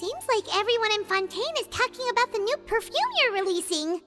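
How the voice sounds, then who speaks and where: Paimon, squeaky childlike fairy girl. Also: lively intonation, brisk, a bit bright, soft background music. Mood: curious. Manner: perky, exclamatory. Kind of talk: video game voice acting.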